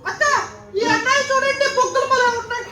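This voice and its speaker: Puppet show casting: cheeky, eager little girl puppet, extremely high-pitched and fast-talking, in an echoey hall. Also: little pitch movement. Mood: cheeky, teasing. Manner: eager, childlike, addresses host as big sister. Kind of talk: live children's puppet show. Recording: echoey hall, noisy live sound system.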